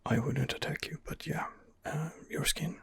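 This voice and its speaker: A man quietly narrating his role-play game in a breathy ASMR whisper, musing and rambling hesitantly, close to the microphone. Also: a little high, moderate pace. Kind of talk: ASMR gaming commentary.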